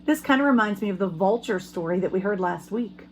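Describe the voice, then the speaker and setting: A woman teacher giving an expressive read-aloud lesson for young children, pausing to ask them questions. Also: mid-range voice, slight background noise, rough recording. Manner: expressive storybook reading. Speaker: elementary school teacher.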